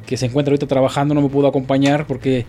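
A man, a musician guest, chatting casually on a radio show, halting with many fillers. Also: medium pitch, faint music behind. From Mexico. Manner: relaxed, halting with frequent fillers.

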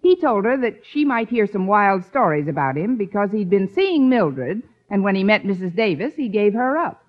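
Actress as a lively, wry schoolteacher in radio comedy. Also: female voice, medium pitch, normal speed, close to an everyday voice. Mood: sardonic, worried. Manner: dry, sardonic wisecracking. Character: wry, quick-witted woman schoolteacher with a landlady. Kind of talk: old-time radio situation comedy acting. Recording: dull vintage radio recording.

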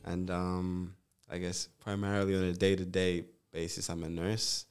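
A young man from Zambia, a nurse and musician, chatting in a relaxed, rambling way as an interview guest, with lots of fillers and restarts. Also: low voice, moderate pace, clean tone, soft background music. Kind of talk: casual interview chat.

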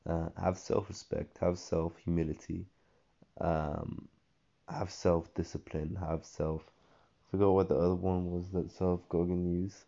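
A young man rambles on in a slangy, meandering monologue, full of fillers and false starts, his voice worn and hoarse. His tone sits deep and flat, with a dark, gravelly edge. The recording sounds dull and lo-fi. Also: unhurried. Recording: dull lo-fi audio.

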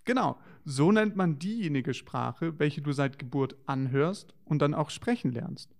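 Verspielter Moderator mit knarziger Stimme. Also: male voice, fairly high pitch, expressive, unhurried, gravelly. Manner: playful, wondering, child-directed. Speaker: children's show co-host. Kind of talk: scripted children's educational dialogue.

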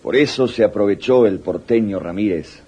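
An actor playing a gruff soldier in a radio drama. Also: male voice, fairly low pitch, moderate pace, dark tone. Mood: matter-of-fact.

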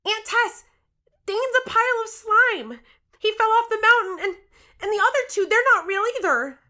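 A woman's voice: very high-pitched, animated, normal speed, pushed and bright, full character voice. A woman playing an earnest, determined thirteen-year-old girl who tells her own story with urgency in an audio drama. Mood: alarmed, pleading. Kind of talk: first-person audio drama narration and acting.

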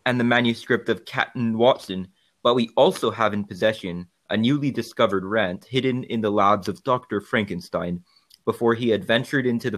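A man's voice: lowish voice, fairly bright tone. A man co-hosting a podcast, giving formal scripted narration. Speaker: podcast co-host.

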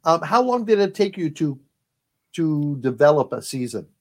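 A man hosts a conversational interview in a slightly high voice. He is polite and appreciative as he puts questions to his guest. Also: very animated, moderate pace, a little mellow. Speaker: interview host.

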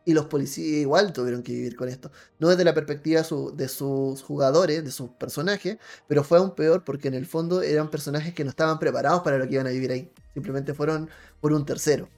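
A man's voice: fairly high pitch, fairly even intonation, quick pace, gravelly, few pauses, darkish tone, soft background music. A man with a Chilean accent talking casually as a panelist on a talk show, telling anecdotes. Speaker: panelist on a tabletop role-playing talk show.

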